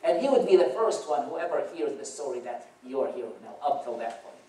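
A man's voice: mid-range voice, very animated, fast, mellow, a little hiss or hum. Iraqi-accented man, echoey church hall. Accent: Iraqi Arabic. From Iraq. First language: Arabic. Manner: wry storytelling with dry humor. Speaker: guest speaker. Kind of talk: personal testimony talk. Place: church auditorium. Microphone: far camera across the room. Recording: roomy echo from far off.

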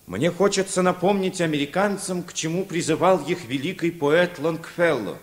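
A man's voice: fairly high pitch, normal speed, close to an everyday voice. An actor playing a compassionate, solemn man who declaims in a heartfelt way in a Soviet radio play.

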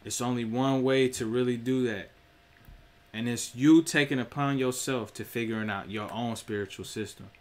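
A young man talks straight into his webcam from a small room. He lectures in a loose, preachy way, peppered with swearing and direct appeals to his viewers. His pitch sits at a mid level for a man. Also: steady tone, moderate pace. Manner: profane, preachy, direct address. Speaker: streamer. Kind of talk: casual spiritual monologue.

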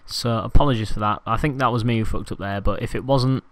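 A man's voice: mid-range voice, quick pace, no room sound. A man hosting a beatbox channel, giving a casual, rambling and apologetic video intro.

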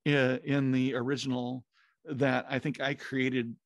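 A man's voice: medium pitch, flat delivery, a little slow, no room sound. A man in his fifties co-hosting a podcast from a home music studio, chatting casually about audio tools with lots of fillers and restarts. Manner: rambling, many fillers and restarts. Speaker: podcast co-host. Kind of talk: casual technical chat. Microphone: studio mic with headphones, video call.

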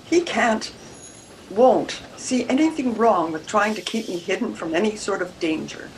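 A woman's voice: mid-range voice, animated, measured pace. Older woman playing a proper, troubled Edwardian wife, noisy call. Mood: distressed. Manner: formal, careful period diction. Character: troubled, independent-minded Edwardian married woman, proper and earnest. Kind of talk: radio mystery play acting. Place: room at home, video call. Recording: video call with background noise.

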